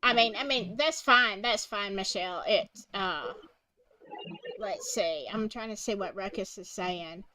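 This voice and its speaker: A woman's voice: mid-range voice, a little slow, pushed and bright. A woman hosting a live stream, agreeing with her guest and reading out viewer questions. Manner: agreeable, reads out viewer comments.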